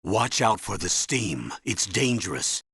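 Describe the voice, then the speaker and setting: An actor playing a stern older colonel in a video game, briefing and giving orders with a husky, commanding voice. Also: male voice, lowish voice, sing-song, measured pace, a bit bright, no echo, studio quality, heavily acted. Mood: instructive. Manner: commanding, urgent, instructive.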